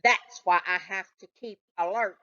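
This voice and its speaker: A woman's voice: medium pitch, normal speed, bright, forceful tone, lo-fi sound, close to an everyday voice. A woman reading a children's storybook, voicing a grumpy, suspicious, bookish male cat in a snappish, insistent manner. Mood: defensive. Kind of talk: children's storybook read aloud.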